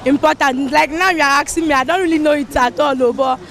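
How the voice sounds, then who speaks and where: A woman's voice: sits high, steady tone, fast, a bit bright. A Nigerian woman from Enugu answering on the street, earnest but unsure of herself. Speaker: passer-by interviewee. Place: outdoors on a street.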